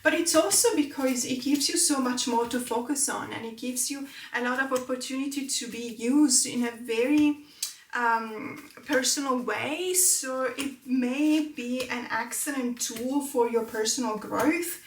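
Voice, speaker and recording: A woman's voice: sits high, measured pace, a little hiss or hum. A woman whose first language is Italian, hosting a tarot channel and chatting to camera in a rambling way with many fillers. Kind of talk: casual talk to camera. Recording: roomy echo.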